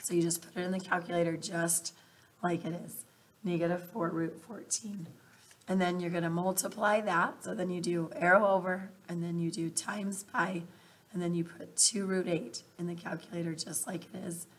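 A woman teacher in her thirties with a husky, scratchy voice that sits fairly low. She walks her students through each step patiently, speaking straight to them from a webcam in a small room. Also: level tone, moderate pace. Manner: patient step-by-step explaining, addresses students directly. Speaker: math teacher. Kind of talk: recorded math lesson over worksheet. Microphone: webcam bubble over screen recording.

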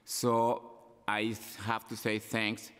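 Middle-aged colombian guy, spanish accent, halting testimony, smooth low voice. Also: monotone, a bit bright. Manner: halting, gesturing with his hands. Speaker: public testifier. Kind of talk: unscripted public testimony. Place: large city council chamber. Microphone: desk microphone. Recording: long hall reverb.

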